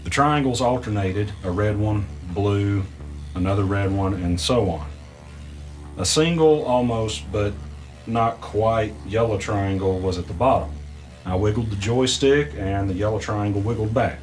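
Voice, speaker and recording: A weary, anxious storyteller, played by a man narrates a mystery audio drama in the first person. The voice sits low, with measured, halting delivery and deliberate pauses, over faint music. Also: lightly acted. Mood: wry, suspenseful recounting. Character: weary, anxious male narrator recounting an eerie arcade ordeal.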